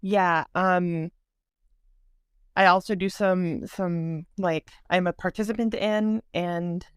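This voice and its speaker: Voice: medium pitch, steady tone, takes it slowly, clean tone, no echo, studio quality. A taiko player and mentor introducing themself on a podcast, easygoing and a little hesitant.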